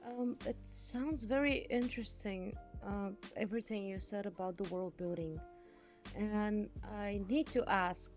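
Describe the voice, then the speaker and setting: A woman hosting an interview, speaking in a conversational way as she responds to her guests. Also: medium pitch. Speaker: interview host.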